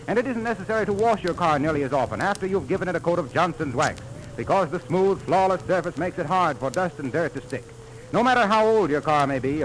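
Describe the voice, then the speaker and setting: High-pitched American 1930s radio announcer man. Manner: polished, emphatic salesmanship. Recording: noisy vintage 1930s radio broadcast.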